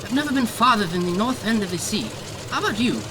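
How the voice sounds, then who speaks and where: A woman's voice: fairly high pitch, animated, quick pace, close to an everyday voice. A woman playing a chatty, upbeat young stowaway who rambles in a folksy, chirpy way in an audio drama. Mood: excited, nervous. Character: chatty, upbeat young female stowaway riding outside a ship. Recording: loud engine and ambience noise.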